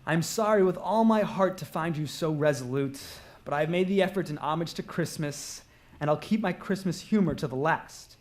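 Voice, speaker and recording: A man's voice: a little high, normal speed, lightly acted. A man playing a cheerful, earnest young nephew in a live radio drama. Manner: earnest, good-humored. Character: cheerful, warm-hearted young nephew, steadfastly festive.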